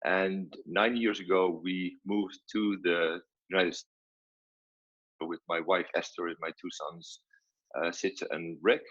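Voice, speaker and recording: Low-voiced Dutch-accented man, breathy, unhurried, lo-fi call sound. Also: little pitch movement, fairly bright tone. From Netherlands. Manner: measured, frequent fillers. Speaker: guest scientist. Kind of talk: video-call interview. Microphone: video call. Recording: dull lo-fi video call audio.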